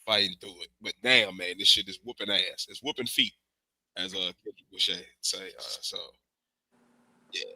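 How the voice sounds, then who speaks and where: A man's voice: low-pitched, moderate pace, dry recording, rough recording. A man hosting a podcast in casual, slangy chat, talking through a cold. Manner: loose, animated, slangy banter. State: has a cold. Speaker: podcast host. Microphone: remote stream mic.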